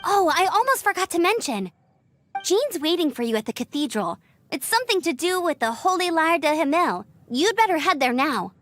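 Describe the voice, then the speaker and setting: An upbeat young female knight from a video game. She speaks very high with animated, encouraging friendliness. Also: normal speed, no echo, exaggerated voice. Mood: cheerful, encouraging. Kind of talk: video game character acting.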